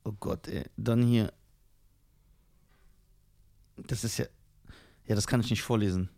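A man's voice: on the low side, fairly even intonation, measured pace, vocal fry, dark tone. A man from Iran co-hosting a casual podcast chat, reading entries from a list aloud with mock drama. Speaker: podcast co-host.

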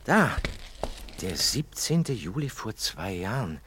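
A man's voice: lowish voice, very animated, slow pace, a bit bright, slight background noise, exaggerated voice. An actor playing an observant, eager young male detective in a German audio drama, thinking aloud with hesitant starts. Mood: excited, reading out.

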